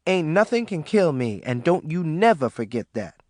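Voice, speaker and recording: Man voicing a fearless boastful matriarch, emphatic, raised pitch, lively. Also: a little slow, dry recording, clean studio sound. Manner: bold, emphatic dialect speech. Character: fearless tough older Southern woman, boastful.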